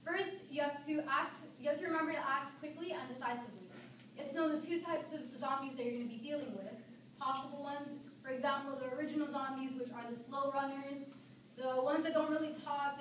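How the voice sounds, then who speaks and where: A very echoey, noisy recording of a young woman speaking to a group. Her voice is high, and she delivers an informal, humorous speech in casual, everyday language, like a student presenting. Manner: conversational, colloquial, casually addressing listeners. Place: echoey room, recorded from a distance. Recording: echoey room, distant mic, noisy background.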